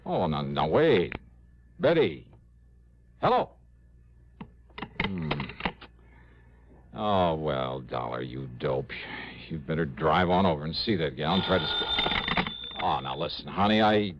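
An American actor playing an easygoing, flustered freelance investigator in an old radio drama. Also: male voice, a bass voice, expressive, takes it slowly, pauses often, a little hiss or hum, full character voice. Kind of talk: 1950s-60s American radio drama acting. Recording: dull old radio broadcast.

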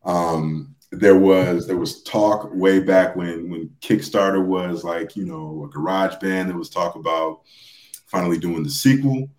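A man in a casual podcast chat, speaking haltingly and often repeating his words. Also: low-pitched, a little mellow. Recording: roomy, echoey sound.

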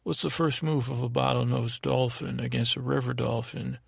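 Male sleep-podcast host: thick vocal fry, gravelly, fairly flat intonation, wandering, hesitant, lulling delivery. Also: mid-range voice, no room sound, clean studio sound. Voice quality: creaky, gravelly. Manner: meandering, halting, digressive; lulling, soothing. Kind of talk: rambling bedtime monologue to lull listeners to sleep.

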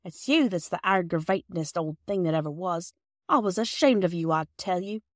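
A woman's voice: on the low side, lively intonation, a little fast, no echo, clean studio sound, natural voice. A woman voicing a firm, scolding working-class wife with blunt dialect speech in a story reading. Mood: scolding, fond.

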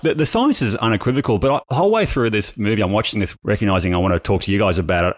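Man, podcast co-host, low voice, animated, dry sound. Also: no echo. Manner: animated, exasperated. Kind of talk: casual podcast chat.

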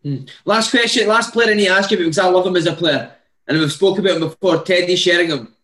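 A man's voice: high voice, fast, fairly bright tone. A man working as an interviewer, asking casual questions about football, recorded with a roomy, echoey sound.

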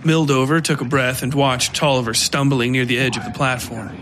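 A man narrating scripted dark horror fiction as a podcast storyteller. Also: medium pitch, fairly even intonation, brisk, slight background noise.

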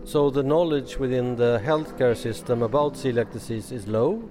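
A man with a medium-pitched voice puts questions to a guest as an interviewer. Music is clearly audible behind him. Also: steady tone, moderate pace.

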